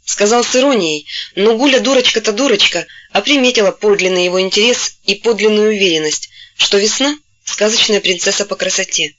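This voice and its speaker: Bright-voiced woman reading a novel aloud. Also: medium pitch, brisk, hardly any pauses, highs cut off. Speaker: audiobook narrator. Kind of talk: scripted literary narration.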